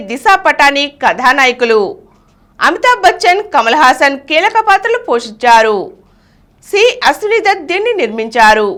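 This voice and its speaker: A woman's voice: high-pitched, fairly bright tone. A woman reading scripted news items and headlines as a news reader.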